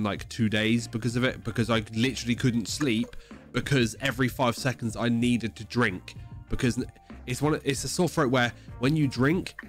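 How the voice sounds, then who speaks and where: A man hosts a casual livestream podcast with music behind him. He sounds stuffed up with a head cold and sore throat. He explains things at length in a mid-pitched voice, hammering his points and swearing freely. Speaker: podcast host.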